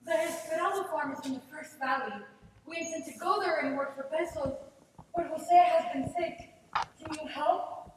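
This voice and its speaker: A woman's voice: high-pitched, moderate pace, close to an everyday voice. A woman on stage playing a poor, anxious, devoted country girl, earnest and pleading. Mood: sorrowful. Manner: earnest, pleading, Spanish words mixed in. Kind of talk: stage play acting. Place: theatre stage, far camera. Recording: echoey, noisy theatre hall from far off.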